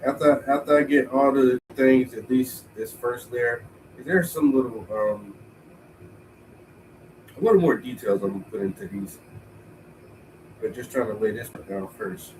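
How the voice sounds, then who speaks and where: A man thinking aloud in a relaxed, rambling way as he paints, sounding stuffy and tired with a cold. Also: mid-range voice, normal speed, long pauses, distant mic, a little hiss or hum, rough recording. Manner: laid-back, rambling, thinking aloud, swears casually. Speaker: painter streaming while painting. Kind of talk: casual talk to himself while painting.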